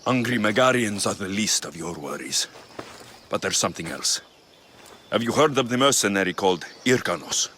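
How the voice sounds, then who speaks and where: A man's voice: fairly low pitch, expressive, moderate pace, pushed and bright, a little hiss or hum. An actor playing a confident, wry male mercenary warrior of ancient Greece in a video game, blunt and commanding. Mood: weary, blunt. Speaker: video game lead character. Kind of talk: dramatic game cutscene acting.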